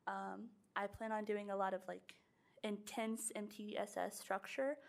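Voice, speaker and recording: A woman's voice: mid-range voice, vocal fry. A woman teacher giving a presentation to a school board and answering questions, talking chattily with many fillers.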